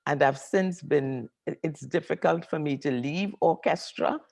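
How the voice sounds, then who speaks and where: An older woman from Trinidad speaks with a notably deep, gravelly voice, full of vocal fry. She tells her story in an interview the way a professor would, unhurried and reflective, often repeating a phrase to let it land. Her delivery is conversational and thoughtful. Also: a little mellow.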